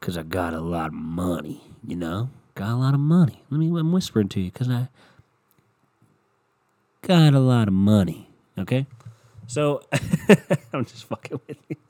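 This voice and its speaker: A man's voice: on the low side, very animated, moderate pace, pauses often, mellow. A stuffy-nosed young man with a sore throat, hosting a podcast alone and rambling in a loose, profane, joking way. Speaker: podcast host. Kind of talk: casual solo podcast rant.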